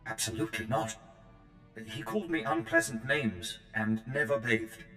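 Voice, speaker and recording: An actor playing a nervously eager servant droid in a video game, prim, formal and obsequiously polite. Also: male voice, on the low side, monotone, normal speed, fairly bright tone, lightly acted. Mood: fussy, eager. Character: fussy, servile, nervously eager male protocol droid servant. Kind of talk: scripted game character acting. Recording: roomy echo, long reverb, faint music.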